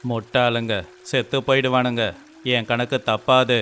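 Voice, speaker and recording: A man's voice: medium pitch, little pitch movement, moderate pace, a bit bright, smooth, natural voice. A man voicing a proud, stubborn, book-learned young village man in a cartoon moral story, lecturing emphatically. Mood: stern warning. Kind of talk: animated moral story dialogue.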